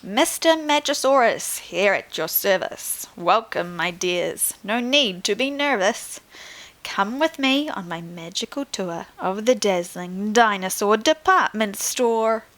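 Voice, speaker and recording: A woman's voice: mid-range voice, lively intonation, takes it slowly, a bit bright. A woman voicing a flamboyant, boastful male dinosaur shopkeeper in a storybook, with a theatrical, grandly welcoming manner. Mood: grand, excited. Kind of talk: storybook read aloud.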